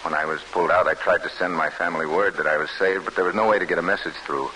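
A grave, guarded soldier speaks in a very low, forceful voice. His delivery is earnest and deliberate, like old radio drama narration, with a light hiss over the recording. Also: fairly even intonation, rough recording. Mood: somber. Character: grave, guarded Polish soldier recounting his wartime escape. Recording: hissy vintage radio broadcast.

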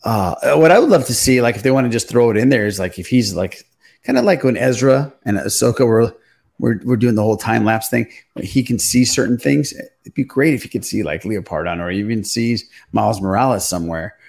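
A man's voice: mid-range voice, lively intonation, fairly quick, vocal fry, a little mellow, husky. A man hosting a podcast, chatting casually about fandom and steering the talk among his friends. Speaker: podcast host. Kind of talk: casual fan chat.

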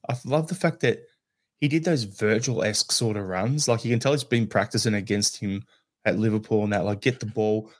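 A man with an Australian accent co-hosting a casual football podcast, animated and acting out his reactions. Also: lowish voice, fairly quick. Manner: animated, acts out reactions in quotes. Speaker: podcast co-host.

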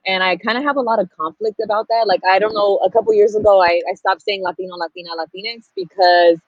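A woman's voice: mid-range voice, fairly even intonation, fast talker, dry recording, muffled recording. A woman, candidly and reflectively telling her own story in casual talk. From Pasadena, California, United States. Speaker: podcast guest, storyteller and activist.

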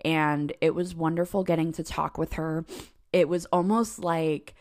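A woman with a notably low voice hosts a casual podcast, gushing about her guest with plenty of "um"s and "like"s. She speaks in a relaxed, effusive way. She is recorded at home for a remote chat. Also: unhurried. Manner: chatty and effusive, many fillers. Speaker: podcast host. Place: home in Idaho.

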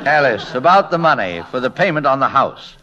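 An actor playing a proud, flustered painter husband in 1940s radio comedy, blustering and emphatic, on a muffled old recording. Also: male voice, medium pitch, very animated, a little fast, crowd noise, close to an everyday voice. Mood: flustered, indignant. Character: proud, flustered, indignant painter husband claiming secret fame.

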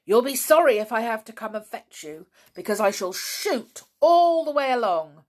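A woman's voice: low-pitched, lively intonation, a little slow, full character voice. A woman voicing an angry, bullying, scornful man with a revolver in a storybook, barking threats and clipped commands. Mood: angry, shouting.

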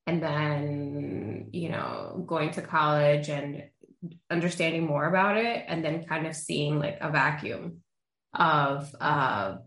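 A young woman originally from Honduras, with Spanish as her first language, talks candidly as a therapist guest on an interview show. Her voice sits a touch low, and the room around her rings with a clear echo. She tells her story in a loose, lively way, full of everyday fillers. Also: slow pace. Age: young adult. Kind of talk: casual interview chat. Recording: roomy echo.

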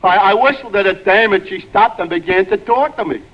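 An actor playing a dim-witted, naive, lovelorn sidekick in a 1940s radio comedy. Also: male voice, high-pitched, brisk. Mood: naive, wistful. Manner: dopey, naive, starts with a stray "duh"-like word. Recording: muffled, lightly noisy old radio recording.

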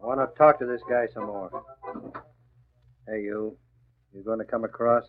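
An actor playing a tough, short-tempered gangster boss, barking slangy threats in a 1940s radio drama. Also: male voice, lowish voice, fairly even intonation, moderate pace, music in the background, lightly acted. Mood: menacing. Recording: tinny, narrow-band old radio recording.

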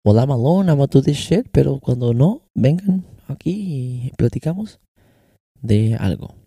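A man's voice: medium pitch, lively intonation, a little slow, dark tone, husky, dry recording, clean studio sound. A young Mexican man with a Mexican Spanish accent and some American English, hosting his own podcast and talking loosely in slang, switching between Spanish and English. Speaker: podcast host. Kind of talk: casual solo podcast monologue. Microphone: close podcast microphone.

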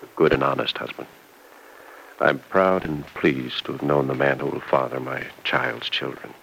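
A very low-voiced, creaky old farmer on his deathbed, proud and tender as he talks. He speaks with solemn earnestness in a vintage radio drama, with some background noise. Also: moderate pace, fairly bright tone, full character voice, poor audio. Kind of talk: old-time radio soap opera acting.

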